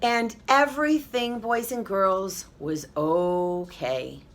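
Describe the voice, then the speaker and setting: A woman teacher reading a picture book aloud to children, expressive and encouraging, pausing to talk to them directly. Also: medium pitch.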